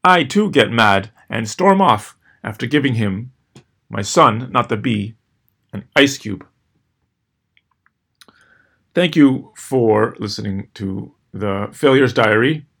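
A middle-aged man reading scripted personal stories as host, with a dry, wry, measured delivery and deliberate pauses. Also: mid-range voice, lively intonation, vocal fry, fairly bright tone, slightly rough. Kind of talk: scripted first-person storytelling.

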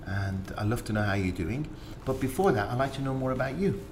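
A man's voice: mid-range voice, lively intonation, a little fast, darkish tone, a little hiss or hum. A man hosting an interview podcast, chatty and cutting in with short questions. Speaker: podcast host.

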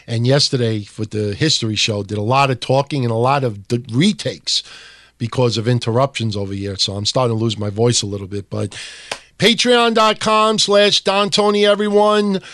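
A man hosting a casual wrestling podcast, talking in a quick, emphatic way, his voice a little hoarse. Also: mid-range voice, fairly bright tone, dry recording. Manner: quick, emphatic, talks over co-host. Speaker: podcast host.

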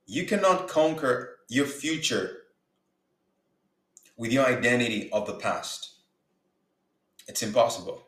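A man's voice: medium pitch, big pitch swings, slow pace, pauses often, a bit bright. A man from Uganda giving an earnest motivational talk about faith and business, repeating words for emphasis. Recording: roomy echo.

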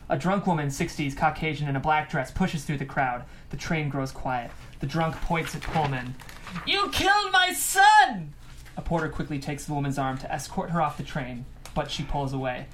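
Low-pitched woman narrating stage directions. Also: fairly even intonation, fairly quick, few pauses, a little hiss or hum. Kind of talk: scripted narration.

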